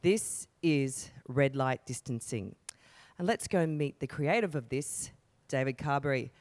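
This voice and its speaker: A woman's voice: rumbling low, measured pace, darkish tone. A woman, chatting effusively as she presents an arts interview. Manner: effusive, enthusiastic.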